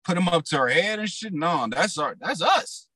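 A raspy young man telling his story in loose, slang-heavy casual talk as a rapper guest. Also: high-pitched, lively intonation, normal speed, pushed and bright, no echo, clean studio sound.

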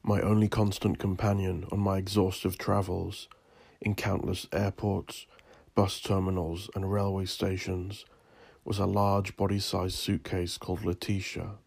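A man from Northern Ireland narrating his own scripted story as an author, in a brooding, solemn, deliberate and theatrical manner. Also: deep voice, little pitch movement, creaky, a little mellow, slightly rough, soft background music.

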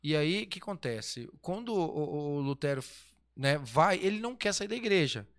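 A man chats through history in a smooth, slightly higher voice. He rambles, breaks off mid-word and answers his own rhetorical questions. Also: big pitch swings, normal speed. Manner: rambling, restarts often, asks himself rhetorical questions. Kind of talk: casual history chat.

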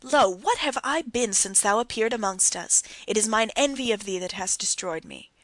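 A woman's voice: a little high, animated, moderate pace, sharp tone, no echo. A woman reading the part of a troubled, despairing young man in an audiobook, pleading and impassioned. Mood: anguished.

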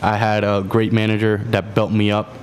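A man in his twenties answers questions on a podcast. His voice is fairly low, and his delivery is plain and earnest. Faint background noise runs under the recording. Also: monotone, normal speed. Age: 20s. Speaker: podcast guest. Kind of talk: casual interview chat.

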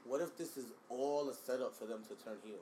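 A man co-hosting a casual wrestling chat, telling scenarios in an animated, slangy, profane way. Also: mid-range voice, quick pace, slight background noise, low-quality recording. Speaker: podcast co-host.

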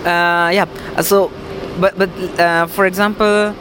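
A woman's voice: fairly low pitch, fairly even intonation, slow, heavy background noise. A woman interviewing an artist in a casual, chatty way, filling her questions with "like". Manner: chatty, lots of "like" and backchannel.

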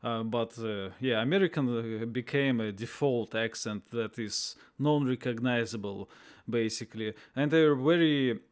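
Halting podcast host with a clear voice. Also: male voice, mid-range voice. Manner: halting, frequent "uh" pauses. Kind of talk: interview conversation.

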